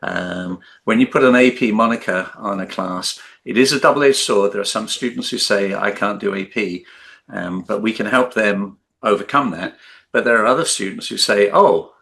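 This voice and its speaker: A man's voice: fairly low pitch, normal speed, creaky, fairly bright tone. An older man in his seventies, a retired teacher, introducing himself on a video call, joking and rambling with many fillers.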